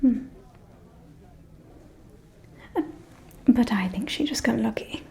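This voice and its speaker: A woman playing a gossiping, catty aristocratic vampire lady in a whispered ASMR roleplay, with a breathy, confiding, arch delivery. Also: fairly high pitch, mellow, slight background noise. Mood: wry, dismissive. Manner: slow, confiding, arch, drawling.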